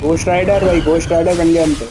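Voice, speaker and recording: A man's voice: on the high side, little pitch movement, rapid-fire, background noise. A man with an Indian accent giving casual live game commentary, often repeating short phrases. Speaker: game streamer.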